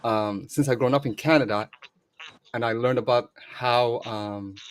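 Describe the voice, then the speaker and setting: A man's voice: mid-range voice, measured pace. A man from Somalia, grown up in Canada, calling in to a podcast and speaking earnestly about his views. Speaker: caller. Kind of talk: casual call-in chat.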